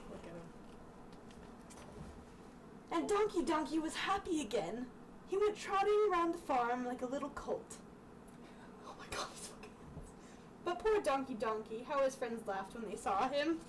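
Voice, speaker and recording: A young woman is heard at some distance from the mic over clear background noise. Her voice is fairly high, and she reads aloud in a casual, chatty style, often breaking off into giggly asides. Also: very animated, normal speed. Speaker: amateur vlogger reading childhood books aloud.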